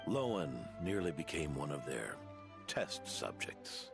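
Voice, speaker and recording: Weary, gravelly low-voiced older mentor. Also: male voice, big pitch swings, slow, full character voice. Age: middle-aged. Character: weary middle-aged male mentor, stoic and dryly self-deprecating. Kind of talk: video game voice acting. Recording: studio voice over clear background music.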